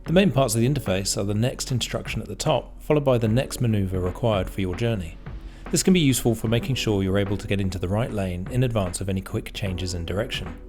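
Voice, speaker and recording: A man with a lowish voice narrates a scripted how-to guide. His delivery is measured and explanatory, set out step by step for the learner. Music plays clearly behind him. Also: a little mellow. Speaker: tutorial narrator. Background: background music.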